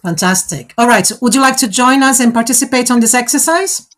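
A woman's voice: a little high, fairly quick, a bit bright. A woman whose first language is Portuguese, hosting a live online exercise, encouraging participants and checking in often with "okay?" and "all right?". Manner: encouraging, coaching, frequent check-ins like "okay?". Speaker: host running a fluency exercise.

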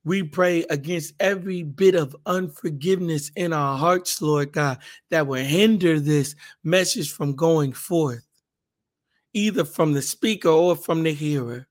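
A man with a hoarse, raspy voice, hosting a casual Bible podcast and leading a fervent spoken prayer. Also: fairly high pitch, measured pace. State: hoarse, raspier than usual.

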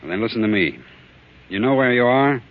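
An actor playing a stern, insistent family doctor in a 1940s radio drama, speaking firmly and deliberately. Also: male voice, mid-range voice, expressive, natural voice. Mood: firm, urgent. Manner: firm, deliberate, commanding. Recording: tinny, narrow-band old radio recording.

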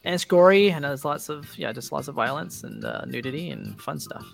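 A man's voice: a little high, animated, brisk, vocal fry, over music. A man co-hosting a podcast in casual banter about games and film, giving his opinions in a joking way. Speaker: podcast co-host.

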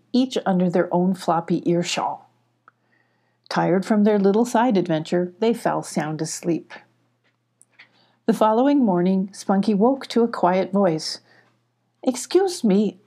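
Mellow older woman telling stories. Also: on the low side, a little slow, gravelly. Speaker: storyteller. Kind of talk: scripted children's story narration.

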